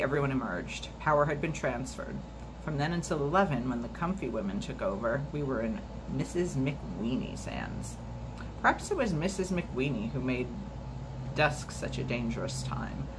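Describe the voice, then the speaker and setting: A woman reading a memoir aloud for a storytime video at a steady, measured pace, now and then stumbling and restarting a word. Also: a bass voice, noisy background. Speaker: storytime reader.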